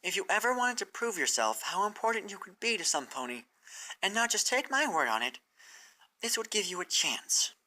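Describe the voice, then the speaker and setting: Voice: mid-range voice, very animated, normal speed, bright, forceful tone, voice pushed up, rough recording. A performer voicing a caring young female pony mentor who speaks in an earnest, coaxing way. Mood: encouraging.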